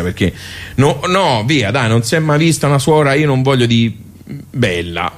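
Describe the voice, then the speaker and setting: A man with a Tuscan accent performing a colloquial, rambling storytelling monologue, full of asides to his listeners. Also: fairly low pitch.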